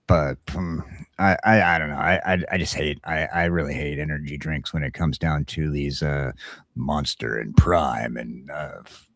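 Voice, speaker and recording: A man's voice: a bass voice, fairly even intonation, normal speed, gravelly, a bit bright, no echo, studio quality. A man talking casually as a talk show host, rambling and stammering, often repeating himself for emphasis. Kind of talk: casual morning show chat.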